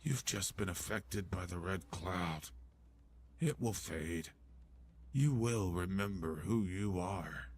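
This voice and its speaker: A man voicing a fervent male cult devotee who speaks in a hushed, half-whispered way. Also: low voice, slow, a little hiss or hum, voice pushed down. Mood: reverent.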